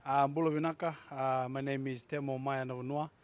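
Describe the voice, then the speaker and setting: A man from Fiji answers interview questions in an easygoing, relaxed manner. His voice sits in a middle range, with faint noise in the background. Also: mid-range voice, brisk. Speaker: rugby player, guest.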